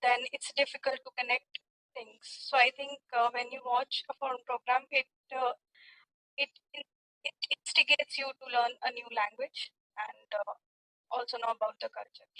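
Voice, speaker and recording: A woman with an Indian accent answers as a candidate in a spoken English test. Her voice is fairly high and very bright, and she speaks haltingly, feeling for the next phrase. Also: fairly even intonation, rough recording. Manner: hesitant, halting. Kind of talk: unscripted spoken exam answers.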